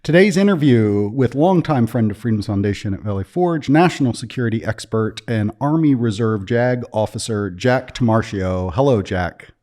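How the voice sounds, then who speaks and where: A man's voice: mid-range voice, lively intonation, moderate pace, hardly any pauses, darkish tone. A man hosting a podcast, reading a friendly scripted intro and then chatting casually with his guest, with some ums. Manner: friendly, reads promos crisply, then loose with fillers. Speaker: podcast host.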